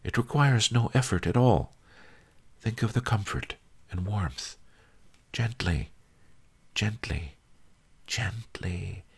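A man voicing a wise old male sage who coaches a pupil in a calm, coaxing way. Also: lowish voice, unhurried, slightly rough, pitched above the performer's own voice, exaggerated voice. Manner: calm, coaxing, soft-spoken.

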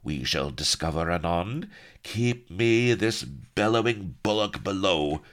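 A man acts out a dangerous dandy pirate from a comic storybook. The voice is deep, soft and silky, sliding into a hiss. He speaks in courtly old phrases full of menace. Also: expressive, slow pace, full character voice. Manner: menacing, mock-courtly archaic speech. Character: suave, wolfish, dangerous male pirate villain.

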